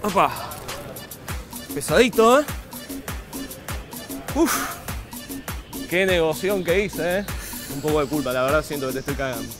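A man plays a chatty young Argentine passerby, cheerful and oblivious, with a Rioplatense accent and a high voice. Music plays under him. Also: measured pace, pauses often, natural voice. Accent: Rioplatense Spanish.

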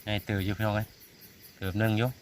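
A man's voice: lowish voice, completely flat delivery, rapid-fire. A man with a northeastern Thai Isan accent giving casual outdoor vlog commentary, often addressing his viewers directly as he shows things. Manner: repeatedly addresses viewers while showing the catch. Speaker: vlogger hunting frogs. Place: outdoors in the countryside at night. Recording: clear outdoor noise, insects and crickets.

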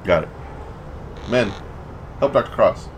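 An actor playing a dutiful checkpoint captain in a science fiction audio drama. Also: male voice, lowish voice, expressive, a little slow. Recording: clear vehicle noise behind.

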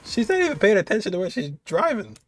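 A man's voice: sits high, huge pitch swings, fast, faint music behind. A man giving casual reaction commentary from an indoor room. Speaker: reaction video host.

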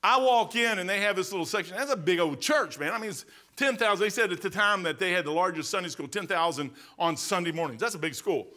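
Middle-aged male pastor, high bright voice, emphatic and conversational, church sanctuary. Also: animated, quick pace. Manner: emphatic, conversational preaching with repetitions and restarts. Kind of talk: sermon. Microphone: pulpit microphone, far camera.

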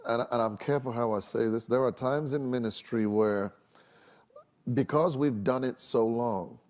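A man's voice: mid-range voice, moderate pace, a little mellow. A middle-aged man, a minister, teaching in an emphatic, explanatory way on a studio panel and repeating phrases for weight. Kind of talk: preaching-style panel talk on a religious TV show. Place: large TV studio set with audience.

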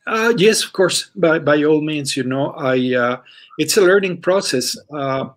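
A man, an artist on a panel, answering over a video call, hesitant and filling pauses with "you know". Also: a little high, expressive. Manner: hesitant, many "you know" fillers and restarts.

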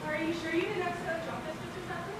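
A woman's voice: very high-pitched, steady tone, very fast. A young woman on stage playing an eager, chirpy member of a period radio troupe. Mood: warm, cheerful. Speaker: actors in a school one-act play. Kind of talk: stage acting in 1940s-style period play. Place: school auditorium stage, recorded from afar. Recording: very echoey stage, distant, loud noise.

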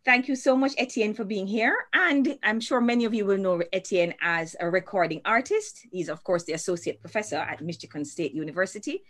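A woman's voice: mid-range voice, animated, fairly quick, few pauses, fairly bright tone. A woman moderating a panel on a video call, introducing speakers in an upbeat, effusive way.

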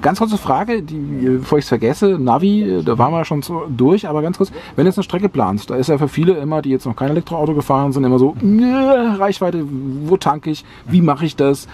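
A man's voice: fairly high pitch, animated, brisk, few pauses, mellow, a little hiss or hum. A man interviewing the driver in a parked car, enthusiastic and asking questions that end with tag questions. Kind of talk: casual chat.

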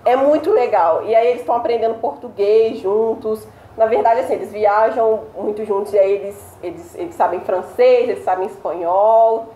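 A woman's voice: fairly high pitch, normal speed, hardly any pauses, mellow. A young woman co-hosting a casual video chat, enthusiastically recommending things. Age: young adult. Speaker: co-host. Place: indoor room against a plain wall. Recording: roomy echo.

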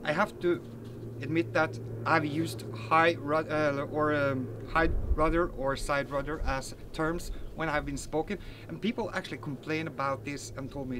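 A man's voice: a little high, noisy background. A man in his forties, a native Norwegian speaker, chatting casually outdoors in a garden as he unboxes and reviews a model plane, with plenty of fillers and self-corrections. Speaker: RC hobby reviewer.

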